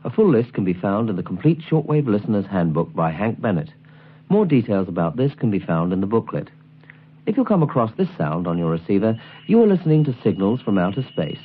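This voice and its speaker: Man narrating, lively and slightly husky. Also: on the low side, a little fast, narrow, tinny audio. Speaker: presenter of a shortwave listening guide. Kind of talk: scripted instructional narration.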